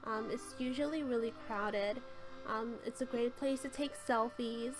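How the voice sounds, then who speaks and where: A woman with a cold and a hoarse voice, giving a chatty, gushing voice-over tour of a game build with frequent ums. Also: a little high, steady tone, a little slow. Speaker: game channel host. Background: light background music.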